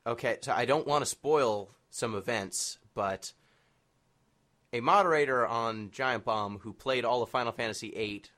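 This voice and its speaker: A man's voice: mid-range voice, measured pace, fairly bright tone. A man hosting a casual interview, with a playful, teasing way of chiming in. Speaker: podcast host, interviewer. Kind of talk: casual chat.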